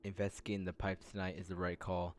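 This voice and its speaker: Low-voiced man playing through a game. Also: little pitch movement, fast, a little hiss or hum. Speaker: gamer playing and reading dialogue aloud. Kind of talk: casual game playthrough commentary and read-aloud.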